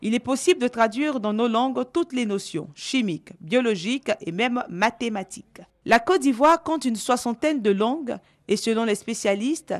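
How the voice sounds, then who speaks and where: A woman's voice: a little high, expressive. Woman narrating a TV news report. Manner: measured, clear reportage delivery. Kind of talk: scripted voice-over narration.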